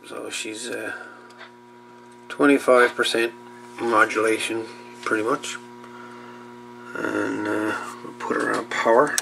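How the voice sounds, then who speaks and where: A man giving casual, unscripted narration at a workbench in a halting, muttering way as he works. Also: on the low side, fairly even intonation, gravelly. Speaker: hobbyist host of radio repair videos. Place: indoor workbench. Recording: noisy home-camera audio with clicks.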